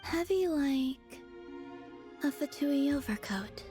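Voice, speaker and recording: A gentle, otherworldly young moon maiden in a video game. Her high voice is soft and breathy, unhurried and hesitant, with a wistful reserve. Faint music sits behind her. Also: animated. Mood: shy. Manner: hushed, hesitant, dreamy.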